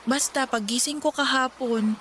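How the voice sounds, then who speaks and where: A woman's voice: high-pitched, steady tone, moderate pace, a bit bright. A woman playing a sick, weary young female office worker confiding in others in a Tagalog radio drama. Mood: weary, puzzled. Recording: some noise behind the voice.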